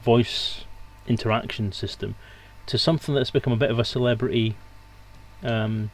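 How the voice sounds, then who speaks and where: A man's voice: medium pitch, fairly even intonation, normal speed. Man hosting a casual livestream interview. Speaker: host and streamer.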